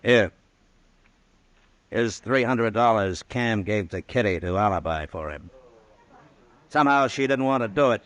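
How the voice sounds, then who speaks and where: An actor playing a stern, weary Old West marshal in a 1950s radio western, speaking tersely and with authority. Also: male voice, lowish voice, unhurried, long pauses, fairly bright tone. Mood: grim.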